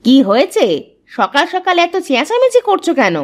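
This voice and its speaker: A woman's voice: very high-pitched, sing-song, normal speed, pitched above the performer's own voice. A woman voicing a nagging, exclaiming wife of a village vegetable seller in a cartoon. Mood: annoyed questioning.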